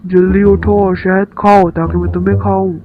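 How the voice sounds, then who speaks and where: A man's voice: high voice, level tone, normal speed, close to an everyday voice. A man voicing a greedy, bossy, grumbling bear in a children's cartoon story. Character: greedy, grumbling male bear who threatens to eat a girl. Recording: dull sound with music bed.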